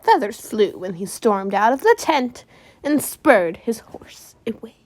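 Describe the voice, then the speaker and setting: A woman's voice: a little high, very animated, a little slow. A woman reading scripted story narration as a student volunteer in readers theater.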